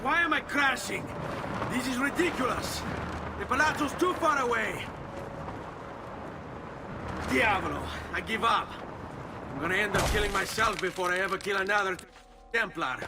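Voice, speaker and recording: An actor playing a cocky, determined young noble turned assassin in a video game, with an Italian accent and wry delivery. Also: male voice, high voice, expressive, moderate pace, pauses often, heavy background noise. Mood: exasperated. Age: young adult. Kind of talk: video game cutscene acting. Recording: game dialogue over clear background music.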